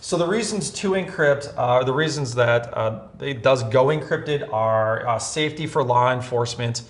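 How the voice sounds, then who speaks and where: A man's voice: mid-range voice, normal speed. American man explaining things in casual conversation. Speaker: co-host, police scanner seller. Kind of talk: casual explanatory talk in a tutorial video.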